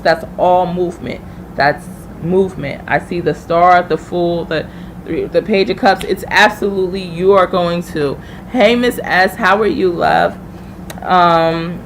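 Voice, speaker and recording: Woman reading tarot live over background noise. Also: lowish voice. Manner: informal, familiar, thinking aloud. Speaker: tarot reader hosting a livestream. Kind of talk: casual live tarot reading, chatting with viewers.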